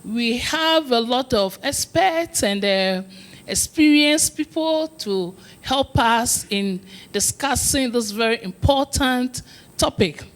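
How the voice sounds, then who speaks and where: Woman moderator with a higher voice. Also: animated, slow pace. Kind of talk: introducing a forum session.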